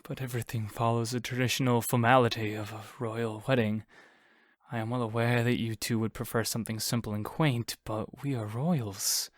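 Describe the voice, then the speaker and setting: A man plays a proud king and father in an audio drama. He speaks in a medium-pitched male voice with formal, unyielding authority. The sound is dry and close. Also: steady tone, moderate pace, dry recording, lightly acted. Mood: formal, firm. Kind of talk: audio roleplay acting.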